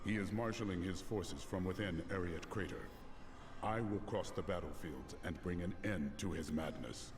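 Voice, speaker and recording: An actor playing a stern, resolute warrior hero in a video game, speaking gruffly in grave, determined declarations. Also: male voice, sits low, normal speed. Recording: loud game music behind. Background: loud dramatic music.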